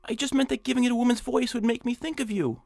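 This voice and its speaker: A man's voice: very high-pitched, quick pace, creaky, a little mellow, no echo, clean studio sound. A man playing a timid, put-upon nebbish in a comic radio drama, whining, stammering and apologetic. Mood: flustered pleading.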